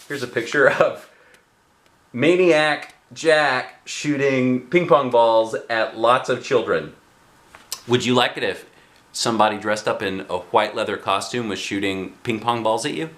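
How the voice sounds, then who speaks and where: A man narrating a children's book aloud with an expressive, dramatic delivery. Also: medium pitch, measured pace. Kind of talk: animated children's storybook reading. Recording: echoey room.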